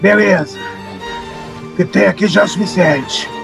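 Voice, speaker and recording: High, gravelly robber boss. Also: male voice, moderate pace, music in the background. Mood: cocky menace. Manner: barking, commanding, slangy. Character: bossy menacing young male gang leader, armed robber. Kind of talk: audio drama acting.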